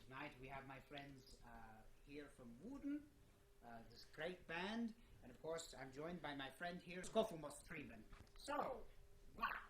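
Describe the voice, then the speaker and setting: A man hosting a casual interview, speaking in a halting, stammering way. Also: on the high side, sing-song, noisy background. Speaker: show host. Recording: echoey room with crowd sound.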